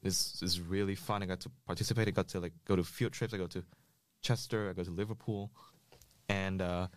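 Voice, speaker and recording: A man's voice: deep voice, fairly even intonation, a little fast, no echo. A young man from Vietnam chatting casually as a podcast guest, hesitant with many fillers and restarts. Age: young adult. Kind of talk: casual group chat.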